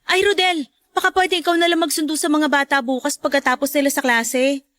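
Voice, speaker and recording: A woman playing a feisty, protective ex-wife and mother in a radio drama, sharp-tongued and nagging. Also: high-pitched, steady tone, brisk, dry recording, clean studio sound. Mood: firm. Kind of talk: Tagalog radio drama acting.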